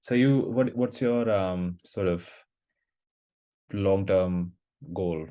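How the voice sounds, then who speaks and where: Deep-voiced young podcast host. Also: male voice, slow, dark tone. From India. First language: Hindi. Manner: hesitant, many fillers and restarts while asking. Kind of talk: casual conversational interview.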